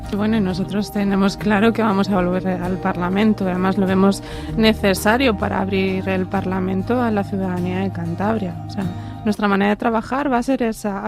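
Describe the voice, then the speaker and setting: A woman in her fifties from Madrid, answering interview questions as a political candidate, often repeating words and restarting her phrases. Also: mid-range voice. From Madrid, Spain. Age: 50s.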